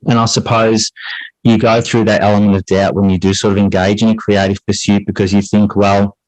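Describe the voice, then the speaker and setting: Man, middle-aged, lowish pitch, dry close sound, earnest, reflective, wandering conversational delivery. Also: level tone, a little fast, darkish tone, dry recording, rough recording. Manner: earnest, discursive, repeats phrases as thoughts form. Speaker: podcast guest, English teacher and writer.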